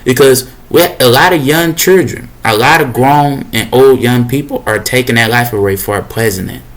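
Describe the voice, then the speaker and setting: A young man with a deep voice talks straight to his listeners in a loose, preachy monologue. He circles back on his own phrases and leans on slang fillers as he goes. His pitch sits in an ordinary middle range for a man. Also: normal speed. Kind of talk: rambling motivational monologue.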